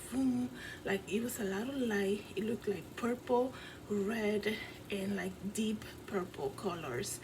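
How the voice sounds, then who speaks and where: A woman from the Dominican Republic with a Dominican accent, earnestly recounting a dream in a casual, rambling vlog full of fillers, recorded in her apartment. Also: mid-range voice. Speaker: vlogger and fashion designer. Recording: clear background noise.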